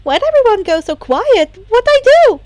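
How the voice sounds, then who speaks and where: A woman voicing an excitable, panicky teenage girl gamer with a screechy voice in a fan fiction reading. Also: very high-pitched, normal speed, no room sound, pitched above the performer's own voice. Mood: confused, worried.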